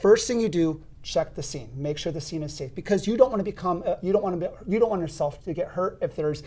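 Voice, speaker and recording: A man explaining CPR steps as an instructor in a podcast studio, with a loud, emphatic teaching voice and frequent restarts. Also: high-pitched, lively intonation, quick pace.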